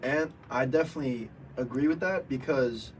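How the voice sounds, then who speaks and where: A man co-hosting a casual sports debate, agreeing often and weighing both sides calmly. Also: mid-range voice, moderate pace, far from the mic, slight background noise, low-quality recording. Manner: agreeable, frequent backchannels. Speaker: co-host.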